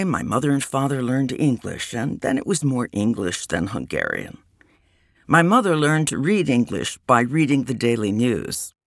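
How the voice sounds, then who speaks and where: A woman reads a memoir in an unhurried, reminiscing way, her voice gravelly with plenty of fry. Her pitch moves a lot, rising and falling through each line. The recording is dry and close, with no room sound. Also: no room sound. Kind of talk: reading a memoir aloud.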